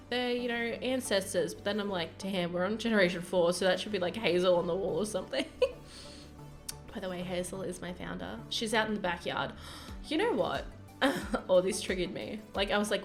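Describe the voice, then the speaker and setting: A young woman chatting casually over a game build video, rambling and giggly with lots of fillers, her voice croaky from early morning. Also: lowish voice, a bit bright. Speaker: gaming YouTuber narrating a build.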